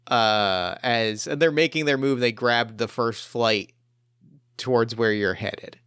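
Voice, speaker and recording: A man improvising narration as game master of a tabletop roleplaying game, speaking haltingly and repeating phrases as he builds his descriptions. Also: medium pitch, fairly bright tone, clean tone, dry recording, clean studio sound.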